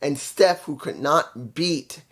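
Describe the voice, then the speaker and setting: Congested, nasal man with a slightly high voice, casual rambling wrestling reviewer. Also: slow, fairly bright tone. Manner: rambling, with restarts and stumbles.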